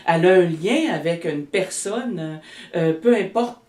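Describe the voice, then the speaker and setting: A woman's voice: lowish voice, expressive, measured pace, darkish tone, recorded from a distance. A woman with a Québécois French accent speaks as a healer in a casual interview. She rambles and restarts her sentences. The room is echoey and she sits back from the mic. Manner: rambling, many restarts and fillers. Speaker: energy healer guest.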